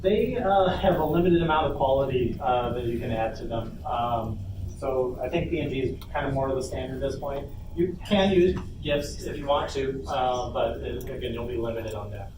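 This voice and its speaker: A man's voice: medium pitch, moderate pace. Distant, echoey man presenting a workshop. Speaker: workshop panelist. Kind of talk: informal presentation and audience Q&A. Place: convention panel room. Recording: echoey room from afar, noisy.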